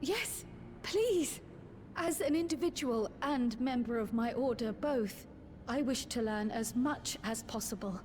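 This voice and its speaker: High-voiced, earnest young scholarly woman. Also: lively intonation, measured pace, noisy background. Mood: eager. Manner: formal, precise, earnest. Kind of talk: scripted video game dialogue.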